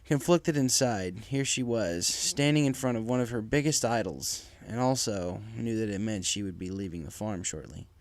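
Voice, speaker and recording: A man's voice: on the low side, moderate pace, hardly any pauses. A man reading scripted fan fiction as its narrator, with music behind his voice.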